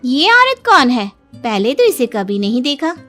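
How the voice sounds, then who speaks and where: A woman playing a skeptical, excitable, blunt village wife in a cartoon story. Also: high voice, a little slow, soft background music, heavily acted. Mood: skeptical, curious.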